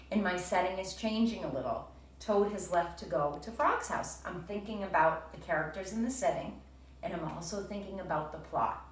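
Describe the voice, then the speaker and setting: Woman teacher in a very echoey room. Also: fairly low pitch. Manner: explanatory, addresses children directly as friends. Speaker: primary school teacher. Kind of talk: read-aloud reading lesson for young children. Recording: echoey room, distant mic, faint noise.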